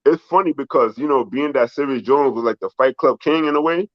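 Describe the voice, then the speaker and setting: A man from the Bronx with a New York accent, whose first language is Spanish, talking in a relaxed, rambling way full of fillers as a guest. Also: medium pitch, fairly quick, no echo, dull audio. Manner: relaxed, rambling, heavy fillers like "you know" and "um". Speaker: documentary filmmaker guest. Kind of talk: casual interview.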